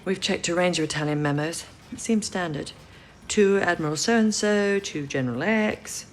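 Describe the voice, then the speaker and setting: An actress playing a businesslike, methodical and slightly weary wartime codebreaker in an audio drama. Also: female voice, lowish voice, moderate pace, a little hiss or hum, lightly acted. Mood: weary, matter-of-fact.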